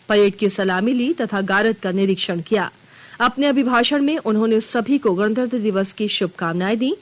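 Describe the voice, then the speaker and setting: A woman news anchor reading a scripted regional bulletin in a formal, measured manner. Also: medium pitch.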